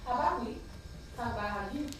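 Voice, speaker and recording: Blunt, mocking friend played by an actress in stage banter; high female voice in a reverberant hall. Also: fast talker, close to an everyday voice. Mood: teasing, accusing. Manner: blunt, teasing, accusatory. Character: mouthy, mocking woman who needles her friends. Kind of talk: stage play acting, bantering dialogue. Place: stage in a large roomy venue. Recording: echoey hall, distant mic, loud noise.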